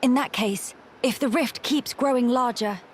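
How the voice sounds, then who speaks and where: An actress playing a noble, earnest young princess in a video game, with formal, commanding phrasing. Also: female voice, fairly high pitch, normal speed, a little hiss or hum, close to an everyday voice. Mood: grave, composed.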